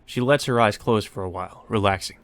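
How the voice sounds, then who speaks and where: A man's voice: lowish voice, fairly quick, no echo. A man hosting a Let's Play, reading a visual novel aloud with casual commentary.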